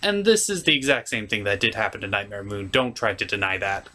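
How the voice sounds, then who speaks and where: A man's voice: fairly low pitch, animated, fairly quick, bright, forceful tone. A man giving a casual, opinionated cartoon review as an online host, blunt and a bit showy.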